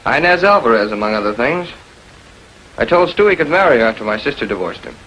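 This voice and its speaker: A man's voice: medium pitch, very animated, fast, sharp tone. An actor playing a smug, flippant male schemer in a 1940s filmed crime drama, glib and sardonic under questioning. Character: smug, flippant, self-satisfied brother-in-law, male schemer. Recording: noisy old 1940s film soundtrack.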